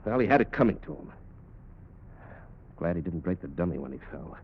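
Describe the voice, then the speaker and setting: A brash showman, blunt and sarcastic, talking quickly with lively swings in a highish voice. It is old-time radio acting on a muffled recording. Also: husky, full character voice. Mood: dismissive. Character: brash, tough, impatient male stage performer.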